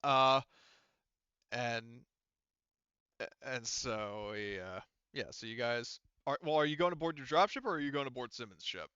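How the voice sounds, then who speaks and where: A man's voice: mid-range voice, unhurried, long pauses, sharp tone, smooth, no echo, lo-fi sound. A man narrating a tabletop game as game master, explaining things in a casual, rambling, conversational way.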